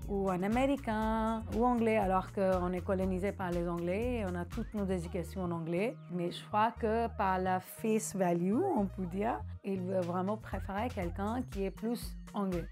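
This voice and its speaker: A woman's voice: mid-range voice, steady tone, normal speed, few pauses, a little mellow. Pakistani-accented woman over music. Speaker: dance teacher and dancer. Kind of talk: personal interview, conversational storytelling. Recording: music playing under the voice.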